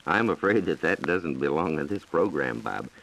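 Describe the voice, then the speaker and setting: A man's voice: extremely low pitch, lively intonation, normal speed, vocal fry, a bit bright, slight background noise, low-quality recording. A man explaining science from a script on a 1940s radio program, formal, precise and authoritative, heard on a vintage broadcast recording. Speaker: science reporter answering listener questions.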